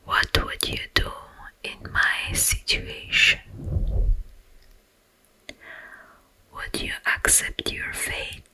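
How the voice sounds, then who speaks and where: A woman whispering as a sleep paralysis demon in an ASMR roleplay, softly menacing yet soothing, telling an eerie story in hushed, drawn-out phrases. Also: poor audio. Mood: soothing, ominous. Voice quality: breathy, unvoiced whisper. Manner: slow, hushed, drawn-out phrasing with long pauses. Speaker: ASMR performer.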